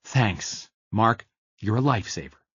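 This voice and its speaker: A man's voice: on the low side, lively intonation, measured pace, fairly bright tone, slightly rough, no echo, dull audio, heavily acted. A male narrator voicing a jittery, fidgety man who keeps pleading to be trusted. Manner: pleading, insistent.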